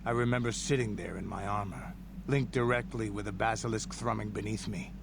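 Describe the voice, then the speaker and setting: A gravelly, proud old warrior. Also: male voice, on the low side, fairly even intonation, fairly quick, a crowd in the background. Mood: proud, reminiscing. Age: older. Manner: solemn, boastful, grand storytelling. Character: proud, grizzled old warrior recounting battles. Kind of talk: video game character monologue. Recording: game audio with background noise.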